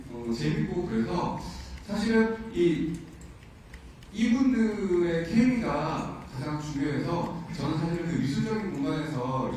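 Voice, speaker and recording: A man's voice: a little high, a little mellow, slight background noise. Brief: male director, hesitant delivery, mic far off in a large reverberant hall. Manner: halting explanation with many fillers. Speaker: drama director. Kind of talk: press conference answers. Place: hotel ballroom. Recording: echoey ballroom, distant mic.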